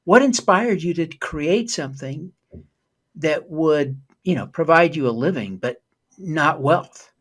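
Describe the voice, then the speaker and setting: A man's voice: on the high side, lively intonation, slow, fairly bright tone, breathy edge. Enthusiastic male interview host. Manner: enthusiastic, reflective, with frequent fillers. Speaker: show host.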